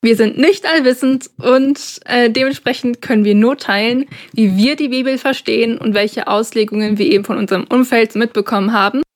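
A young woman co-hosts a podcast conversation. Her voice sits a little above average in pitch. She speaks earnestly and carefully. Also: brisk, few pauses. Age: young adult. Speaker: podcast co-host.

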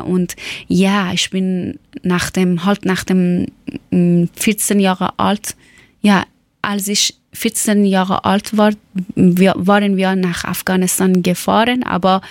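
A woman from Iran with a Persian accent, speaking German as a second language and telling a personal account haltingly, often searching for words. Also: mid-range voice, dry recording. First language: not German. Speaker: former teacher telling her life story.

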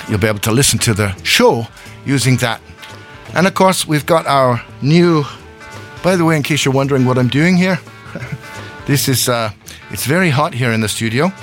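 A man from Scotland hosting a live radio show in a hot studio, chatting loosely with frequent chuckles and restarts, with faint music. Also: medium pitch. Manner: rambling, chuckling, self-correcting. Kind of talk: casual live radio chat.

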